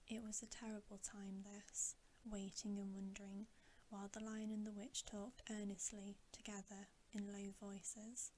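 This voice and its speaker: A woman reading a bedtime story as ASMR in a breathy whisper. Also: medium pitch, monotone, a little slow, mellow, a little hiss or hum.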